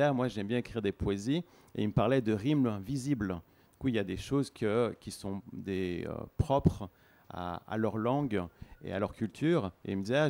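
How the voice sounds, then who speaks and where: A man's voice: lowish voice, gravelly, warm tone. A man speaking earnestly and hesitantly in a group discussion, with many restarts. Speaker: participant in a discussion.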